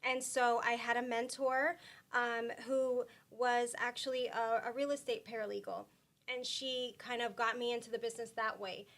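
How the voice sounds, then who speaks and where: Puerto rican-born woman, guest on a chat show, high-ish flat voice, restarts a lot. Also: normal speed. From Puerto Rico. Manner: soft-spoken, frequent fillers and restarts. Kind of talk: casual interview conversation.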